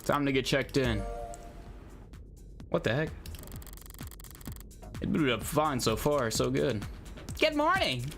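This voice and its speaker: Man, mid-range voice, game livestreamer, slangy and jokey, reads aloud with stumbles, clear background music. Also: mid-range voice, slow pace, pauses often. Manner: slangy, jokey, stumbles over long words. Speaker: livestreamer playing a visual novel. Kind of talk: live game commentary mixed with reading on-screen text aloud. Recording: music playing behind the voice.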